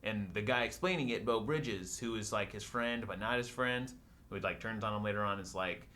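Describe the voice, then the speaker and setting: A man's voice: lowish voice, moderate pace, sharp tone. A man in a casual movie discussion, retelling plots in a rambling, informal way full of filler "likes". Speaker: podcast panelist.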